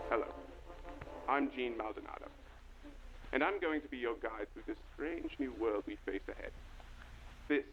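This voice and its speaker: A man's voice: mid-range voice, fairly bright tone. A man playing a grave, earnest documentary guide, narrating formally and portentously from a script in a vintage style. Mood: solemn, formal. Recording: dull lo-fi audio with slight noise.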